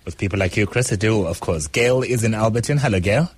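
A man's voice: on the low side, fast talker, noisy background. A man hosting a radio call-in show, friendly and joking with callers. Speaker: radio host.

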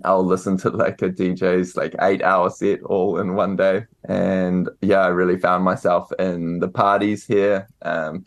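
A young New Zealand man answering interview questions in a relaxed, hesitant way, with many fillers and restarts. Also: low-pitched, measured pace, hardly any pauses. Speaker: author and guest.

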